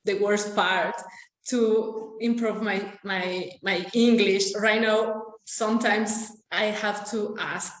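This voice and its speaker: Slow earnest young woman, echoey room. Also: mid-range voice, steady tone. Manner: earnest, searching for words. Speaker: international student.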